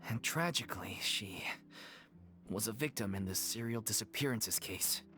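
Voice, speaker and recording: A man's voice: fairly low pitch, lively intonation, moderate pace, sharp tone. A man voicing a worried, earnest young actor in a theater troupe in a video game. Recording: game soundtrack music behind.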